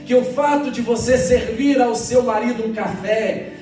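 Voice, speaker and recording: A man's voice: very high voice, fairly even intonation, moderate pace, dark tone. A Brazilian man preaching as a pastor in a church sermon, exhorting and repeating phrases as he addresses the congregation directly. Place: church hall during a morning service. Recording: echoey church sound, distant, faint music.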